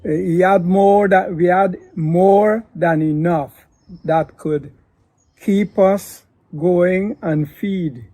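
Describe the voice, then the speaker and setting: Give me an older man, slow and deliberate, dark tone, recorded dry. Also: sits high, fairly even intonation, dry recording. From Guyana. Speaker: pastor and father of the host. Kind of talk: reflective family interview.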